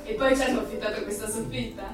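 A woman's voice: a little high, steady tone, quick pace, distant mic, lightly acted. An actress playing a bold, cynical, teasing rebel woman in an Italian radio drama, blunt and scornful. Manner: blunt, scornful, coarse-mouthed. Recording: echoey, off-mic radio play over music.

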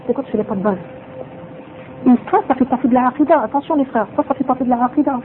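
A man's voice: extremely high pitch, fast talker. A man giving a religious lesson who drops in Arabic phrases and repeats his points for emphasis. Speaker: religious teacher. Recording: tinny phone-like sound with faint noise.

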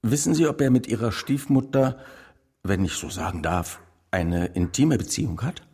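An actor playing a suspicious chief inspector in a radio drama, with a dry, insinuating way of questioning. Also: male voice, mid-range voice, expressive, moderate pace, mellow, breathy edge.

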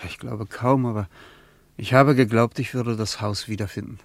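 A man's voice: medium pitch, fairly even intonation, moderate pace, no room sound, natural voice. A man playing an earnest man searching his memories in a radio play, speaking hesitantly. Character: Richard, searching man haunted by memories of a lost house. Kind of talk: German radio play acting.

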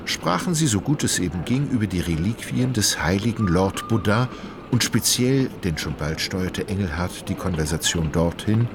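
A man's voice: sits low, lively intonation, normal speed, noisy background. A man narrating a literary radio play as a wry, elegant storyteller. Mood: composed.

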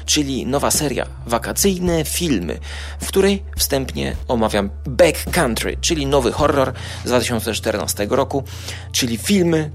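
A man giving an informal, humorous podcast monologue in a jokingly boastful, hyperbolic way. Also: mid-range voice. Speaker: podcast co-host reviewing podcasts.

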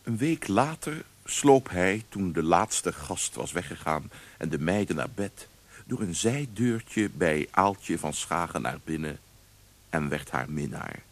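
A very low, gravelly man's voice tells the story. It is scripted narration for a radio drama. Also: expressive, slow.

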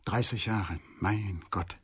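Weary middle-aged lawyer, husky, thoughtful and halting, for a radio crime play. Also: male voice, lowish voice, fairly bright tone, full character voice. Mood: wistful, reflective. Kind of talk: German radio crime drama acting.

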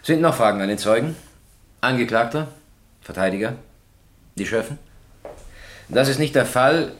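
A man acting a formal, polite presiding judge who runs a trial in measured phrases, in a radio drama. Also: mid-range voice, lively intonation, close to an everyday voice. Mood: formal, businesslike. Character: courteous, orderly male presiding judge running a trial.

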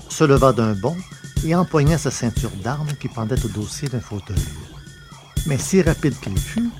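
A man narrating a scripted science fiction adventure story in a literary, storytelling style. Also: mid-range voice.

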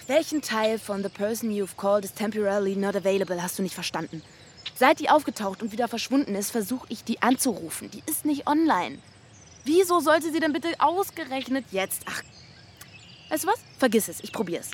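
High-voiced, brusque young woman backpacker played by an actress. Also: lively intonation, a little fast, slight background noise, lightly acted. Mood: annoyed. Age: young adult. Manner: slangy, brusque. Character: impatient, foul-mouthed young woman backpacker. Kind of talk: naturalistic radio drama dialogue.

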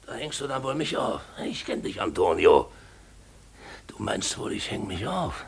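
An actor playing a drunken, bitter painter in a radio play, lurching theatrically between outbursts and mutters. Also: male voice, fairly low pitch, big pitch swings, a little slow, creaky, slightly rough, exaggerated voice. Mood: bitter muttering. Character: drunken, bitter expatriate painter shouting at his helper.

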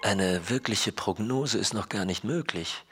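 A man's voice: fairly low pitch, unhurried, loud music behind the voice. A man playing an overtired night-shift hospital worker in a radio play, speaking in a clipped, matter-of-fact clinical report style. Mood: dry, clinical.

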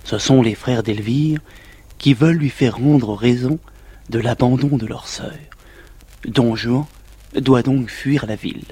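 A man giving a measured, scripted narration that links the scenes of a stage play. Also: medium pitch, mellow, slightly rough.

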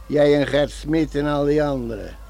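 An actor playing a concerned, earnest village schoolmaster in a Dutch radio play, pleading and regretful. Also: male voice, a little high, moderate pace, creaky, dark tone. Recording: noisy old radio play recording.

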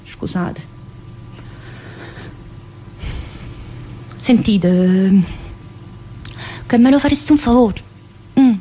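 A woman's voice: on the high side, animated, takes it slowly, dark tone, slight background noise, poor audio. Brash southern Italian woman prisoner. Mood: hesitant, pleading. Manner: colloquial, dialect-laced, addressing an unseen teacher. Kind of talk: theatrical dramatic monologue.

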